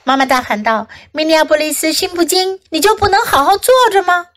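A woman's voice: a little high, sing-song, slow, dry recording, studio quality, heavily acted. A woman voicing an affectionate, bossy storybook mother with a motherly, emphatic way of talking. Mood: calling out, scolding.

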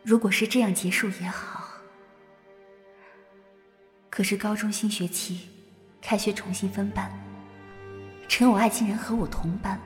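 A woman playing a quietly heartbroken, guarded teenage schoolgirl in a modern audio drama, soft-spoken and reflective. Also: mid-range voice, steady tone, a little slow, pauses often, in a large hall, close to an everyday voice. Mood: wistful, resigned. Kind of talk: modern Chinese audio drama acting with inner monologue. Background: music.

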